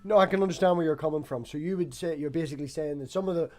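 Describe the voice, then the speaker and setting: Male discussion host, fairly high voice, informal and jokey, music in the background. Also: rapid-fire, darkish tone. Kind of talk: casual banter and discussion.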